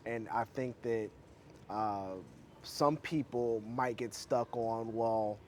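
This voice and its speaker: A man's voice: medium pitch, steady tone, slow, darkish tone, a little hiss or hum. An American man interviewing casually as a podcast host, affirming and reflective as he feels his way through his phrasing.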